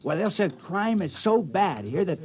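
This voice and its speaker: A man's voice: sits high, big pitch swings, normal speed, darkish tone. An actor playing a timid village man who hesitates and stammers in a 1950s radio drama. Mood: pleading. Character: timid young village man made town criminal. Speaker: town criminal.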